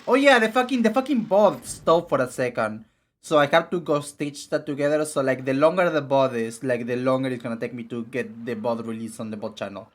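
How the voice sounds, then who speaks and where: Raspy-voiced young male streamer. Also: a little high. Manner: rambling, filler-heavy, with frequent restarts. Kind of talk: casual live-stream chat and reading game text.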